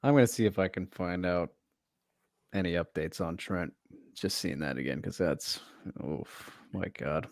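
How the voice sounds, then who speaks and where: A man with a lowish voice hosts a livestream in a relaxed way. He reads viewer comments aloud and adds quick remarks. The sound is dry and close. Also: dry recording. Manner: easygoing, laughs often, short replies. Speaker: livestream host reading chat. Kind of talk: casual chat on a livestream.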